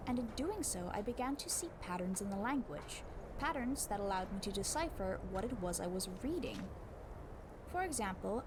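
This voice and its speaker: A woman reading a book aloud as scripted narration, in a measured, scholarly way with deliberate emphasis. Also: a little high, noisy background. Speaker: book narrator giving a voice demo.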